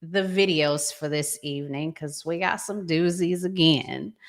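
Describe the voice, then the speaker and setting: A woman's voice: low-pitched, measured pace. An American woman hosting a casual talk show in a conversational way. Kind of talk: casual relationship talk show with sponsor read.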